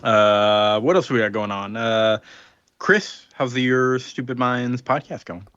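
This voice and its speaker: A man's voice: medium pitch, steady tone, a little slow, fairly bright tone, clean tone, no echo. A man co-hosting a podcast, tossing in playful, jokey remarks in easy conversation. He is recorded on a dull, muffled lo-fi line with no room sound at all. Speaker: podcast co-host. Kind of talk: casual chat.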